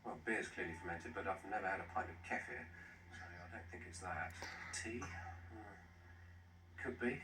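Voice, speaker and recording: A man in his forties with a fairly low voice who talks his way through each answer aloud. He is recorded from a distance in an echoey studio, with clear background noise. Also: unhurried. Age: 40s. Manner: muses aloud while reasoning out answers. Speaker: quiz contestant, team captain.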